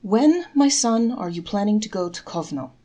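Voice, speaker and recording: A kindly old man of faith, voiced by a woman reader, coaxes softly and earnestly on behalf of the poor. He is gentle and a little sly, a character from a storybook folktale. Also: mid-range voice, lively intonation, moderate pace, voice pushed up, natural voice. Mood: coaxing, mild. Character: kindly, devout, gently sly old male charity collector.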